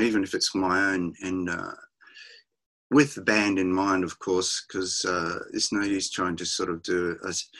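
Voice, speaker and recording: A middle-aged Australian man, a musician, chatting over a video call from his home music room with halting, restarting speech. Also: sits low, fairly bright tone. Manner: halting, many restarts and fillers, laughs often. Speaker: musician guest.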